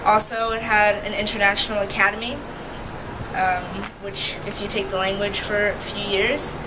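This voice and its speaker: A woman's voice: mid-range voice, little pitch movement, moderate pace. Teen girl, Russian native, matter-of-fact interview, AC noise. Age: teens. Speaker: student interviewee. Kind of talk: casual interview answer.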